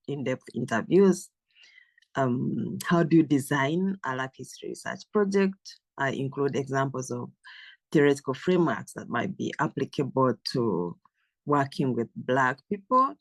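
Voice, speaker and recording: A woman from Uganda, a professor and author, giving a measured, careful self-introduction with frequent pauses and ums. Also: rumbling low, dry recording.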